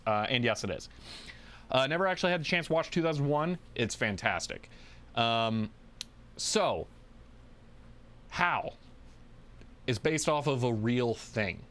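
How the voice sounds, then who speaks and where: A man hosting a livestream, chatting casually and thinking aloud in a halting way as he answers viewers. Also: medium pitch, fairly bright tone. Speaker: livestream host.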